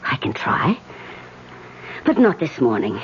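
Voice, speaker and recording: An actress playing a calm spirit medium leading a seance, often speaking in a hush, in an old radio drama. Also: female voice, low-pitched, takes it slowly, a little hiss or hum, full character voice. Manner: calm, hushed, often whispering. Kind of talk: 1970s radio drama acting. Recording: dull old radio broadcast.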